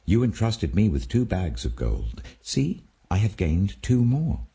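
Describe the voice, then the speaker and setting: A man acts out an earnest male servant in a scripture story, deferring to his master. The voice is low and very husky, with expressive swings in pitch. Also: a little slow, exaggerated voice. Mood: eager, respectful.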